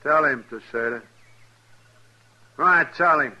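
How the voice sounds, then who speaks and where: An actor playing a bullying, cowardly Old West villain in a radio western, curt, threatening and defiant. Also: male voice, a little high, takes it slowly, sharp tone, muffled recording, full character voice. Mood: demanding. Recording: vintage radio recording with faint noise.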